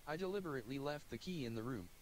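A computer-generated male voice narrating a visual novel in a flat, even reading. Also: medium pitch, rapid-fire, slight background noise, low-quality recording. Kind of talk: text-to-speech game narration.

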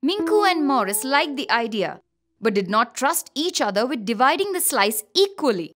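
High-pitched, animated woman storyteller. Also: normal speed. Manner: expressive, theatrical. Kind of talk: animated scripted children's story narration. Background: children's music.

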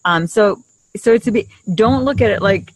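A middle-aged woman talks in an explanatory, conversational podcast style. Her pitch is medium and the recording is dry, without any room sound. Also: moderate pace, no echo, low-quality recording. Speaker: podcast co-host. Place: outdoors in a leafy garden. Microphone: desktop condenser microphone shared between two.

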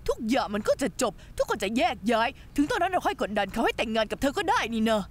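A fast-talking scheming accomplice. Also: female voice, high-pitched, very animated. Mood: coaxing. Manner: urgent, coaxing. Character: scheming young woman, calm coaxing accomplice. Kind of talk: dubbed TV drama.

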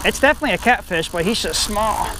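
A man's voice: high voice, quick pace, noisy background. A man hosting a fishing video, chatting casually on a creek dock while he fishes. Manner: relaxed, laid-back, says dude. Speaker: fishing channel host. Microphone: head-mounted action camera.

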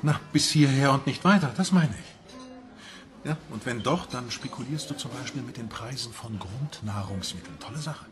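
A man's voice: mid-range voice, brisk, few pauses, close to an everyday voice. Man doing resentful lover type, mid voice, rambling and insistent, radio play with music behind. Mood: bitter. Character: aggrieved, self-justifying man confiding in his lover. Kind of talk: radio crime drama acting.

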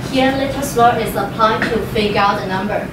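A woman's voice: fairly high pitch, a little fast. A young woman, a native Chinese speaker, explaining figures step by step in a class project presentation. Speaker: student presenter. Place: classroom with a projector screen, indoors. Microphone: far room microphone. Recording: very echoey room from far off, noisy.

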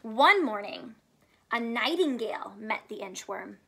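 A woman teacher with a fairly high voice reads to children. She takes her time over each phrase, explaining in simple terms and putting questions to the listener. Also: lively intonation, unhurried, gravelly, fairly bright tone, breathy edge. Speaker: teacher reading aloud to children. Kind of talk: children's story read-aloud with simple teaching. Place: small furnished room at home, indoors.

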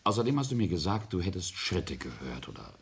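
A ruthless older tycoon in a radio play, with a very low, gravel-filled voice. His intonation swings widely as he speaks in a deliberate, imperious way, coldly laying down the truth to his family. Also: huge pitch swings, slight background noise, full character voice. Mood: relentless. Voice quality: gravelly, husky. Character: ruthless, boastful patriarch and self-made industrialist, coldly confessing. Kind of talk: German radio play acting.

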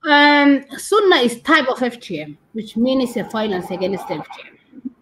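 A woman in her thirties with a Somali accent, answering as an interview guest on a video call, haltingly repeating and rephrasing. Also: on the high side, very animated, fairly bright tone, poor audio. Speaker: guest doctor and women's rights activist. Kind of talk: interview answers on a live stream. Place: curtained room indoors, on a video call. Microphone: video call device mic.

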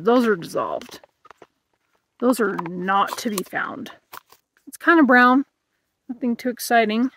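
A woman's voice: on the high side, a bit bright. A woman in her thirties reviewing camping gear in a casual vlog, musing aloud while she eats, recorded outdoors in a meadow.

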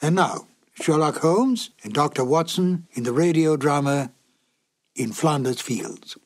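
Guy introducing an old radio show, husky voice, calm and explaining. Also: on the high side. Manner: measured and explanatory. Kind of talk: scripted narration.

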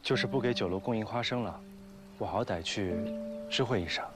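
Young actor for a terse, lower-voiced haughty noble, drama score behind. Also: male voice, fairly quick, close to an everyday voice. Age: young adult. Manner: curt and commanding. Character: proud, guarded young nobleman. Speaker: lead actor. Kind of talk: television period drama acting. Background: music score.

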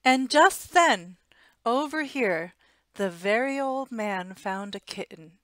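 Slow-paced American woman reading to children. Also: fairly high pitch, no room sound. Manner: expressive, deliberate, simple storytelling for young children. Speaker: storytime reader. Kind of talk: reading a children's picture book aloud. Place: small room at home. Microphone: headset.

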